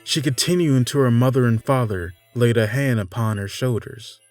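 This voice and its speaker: A man reading a dark storybook tale in a measured way, dropping to a hush and swelling up again. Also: mid-range voice. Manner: measured, with sudden hushed drops and swells. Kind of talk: dramatic scripted narration. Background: music.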